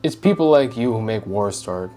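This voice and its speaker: A man's voice: lowish voice, animated, normal speed, warm tone, faint music behind. A young man giving casual, slangy gameplay commentary, playful and brash as he reacts aloud. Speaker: gamer commentating on playthrough.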